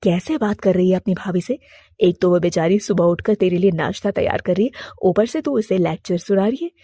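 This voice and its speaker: A woman's voice: lowish voice, brisk, warm tone, husky, no echo, studio quality. A woman voicing a stern but fair mother-in-law pigeon who firmly scolds her daughter.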